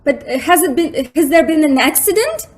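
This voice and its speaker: A woman's voice: high-pitched, expressive, normal speed. A woman playing an impressionable, anxious young lady in a radio drama, with a plaintive, dramatic way of speaking. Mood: anxious questioning. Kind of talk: stage-like radio drama acting.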